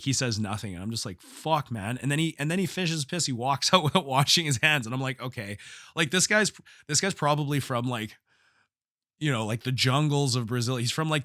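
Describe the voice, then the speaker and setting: Bright-voiced man, blunt casual podcaster, slightly hoarse. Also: mid-range voice, no room sound, clean studio sound. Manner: blunt, profane, slangy. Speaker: podcast host, jiu-jitsu coach and gym owner. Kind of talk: casual solo podcast storytelling.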